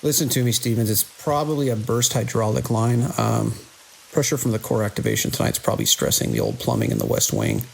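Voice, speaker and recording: A man playing a weary, skeptical security chief in an audio drama, speaking in a dry, authoritative, measured way. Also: fairly low pitch, animated, vocal fry, a little hiss or hum, low-quality recording. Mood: firm, reassuring. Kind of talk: immersive audio drama acting.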